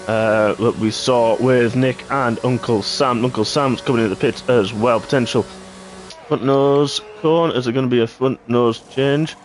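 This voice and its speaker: A man giving live play-by-play commentary on a sim racing league, hoarse and clearing his throat after losing his voice. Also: mid-range voice, slight background noise. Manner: excitable play-by-play, rising sharply at incidents. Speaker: race commentator.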